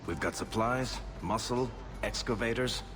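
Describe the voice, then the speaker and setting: A man's voice: mid-range voice, unhurried, natural voice. An actor playing a wry, sardonic male dwarf adventurer in video game dialogue. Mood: wry, explanatory. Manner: sardonic, joking. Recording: game music loud behind.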